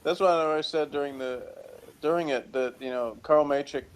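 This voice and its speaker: A man's voice: fairly high pitch, a little fast, smooth. A man co-hosting a review show in casual chat, with a flippant, joking way of talking.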